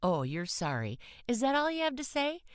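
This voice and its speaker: A woman's voice: fairly high pitch, huge pitch swings, normal speed, clear, resonant tone, dry recording, clean studio sound, pitched above the performer's own voice. A woman voicing a worried, bossy young divorced woman who scolds and interrogates in audiobook dialogue. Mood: scolding.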